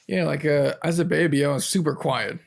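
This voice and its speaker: A man's voice: fairly high pitch, fairly even intonation, fairly quick, vocal fry. A young man from Florida co-hosting a podcast, chatting casually about his baby daughter, with a loose, filler-heavy way of talking. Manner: easygoing, lots of fillers, laughs often. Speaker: podcast co-host. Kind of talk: casual chat.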